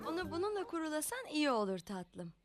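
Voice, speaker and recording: A woman playing a caring, helpful mother in a preschool cartoon dub, affectionate and gently prompting. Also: high-pitched, big pitch swings, brisk. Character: caring, helpful young mother of small boy. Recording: music under the dialogue.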